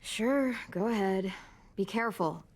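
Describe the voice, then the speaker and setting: A woman's voice: mid-range voice, unhurried, noisy background, natural voice. An American actress playing a guarded but caring teenage girl survivor in a video game, in a natural style. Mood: firm, protective. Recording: music behind the voice.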